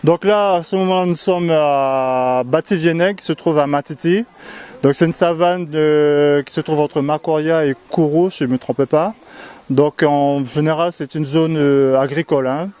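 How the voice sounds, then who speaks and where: A man in his thirties from French Guiana, talking casually and calmly to the camera with frequent hesitations. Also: fairly high pitch, clean tone. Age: 30s. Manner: calm, unhurried, with hesitations.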